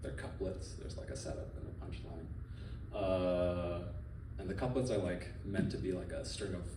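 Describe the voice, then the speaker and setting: A man's voice: low voice, fairly even intonation, normal speed, far from the mic, background noise. A man from the Philippines reading his poems at a live event, with casual joking asides between pieces. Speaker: poet. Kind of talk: live poetry reading with banter. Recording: roomy live venue, off-mic, audience ambience.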